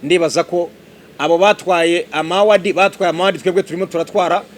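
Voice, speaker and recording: A man presenting on site, talking in a lively way and quizzing the people around him with questions. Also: high voice, fast talker. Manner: animated, quizzing listeners. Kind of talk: lively on-site promo talk.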